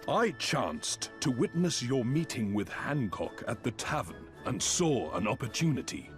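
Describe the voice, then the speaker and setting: An actor playing a courteous, earnest turtle-like merchant in a game, speaking in formal, archaic phrases. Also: male voice, medium pitch, very animated, a little slow. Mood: courteous, candid. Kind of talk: video game cutscene acting. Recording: background music clearly audible.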